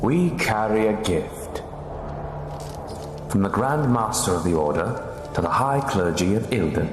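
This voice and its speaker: An actor playing a cold, contemptuous armed envoy of a knightly order in a dark fantasy game. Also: male voice, lowish voice, expressive, measured pace. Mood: cold, threatening. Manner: curt, threatening, contemptuous. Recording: long echoing reverb with music behind.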